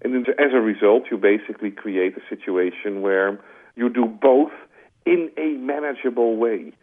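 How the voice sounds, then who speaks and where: A Dutch-accented man, speaking at an ordinary mid pitch, talks through his ideas in a relaxed, conversational way, often dropping in "like" and reaching for homely comparisons. His voice comes through a thin, tinny phone line. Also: moderate pace. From Netherlands. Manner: conversational, uses "like" as a filler, makes his points with vivid analogies. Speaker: interview guest, corporate strategy executive. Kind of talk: explanatory interview answers. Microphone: telephone.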